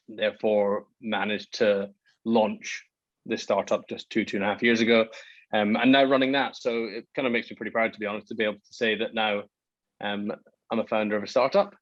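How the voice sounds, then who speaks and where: A man's voice: fairly low pitch, steady tone, normal speed, dry recording, muffled recording. A Scottish man in his thirties, a startup founder talking through his career in a hesitant, self-deprecating way with lots of ums. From Scotland. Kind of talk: casual interview talk.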